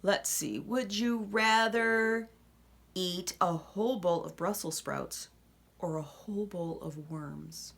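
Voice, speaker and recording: A woman reading a children's picture book aloud expressively, with dramatic pauses and hushed asides. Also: lowish voice, vocal fry.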